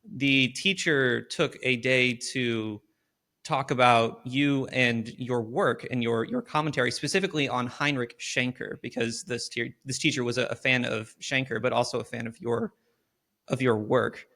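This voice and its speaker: A man's voice: mid-range voice, moderate pace, sharp tone. Earnest young male podcast host. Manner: earnest, wordy questions with fillers. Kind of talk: podcast interview questions.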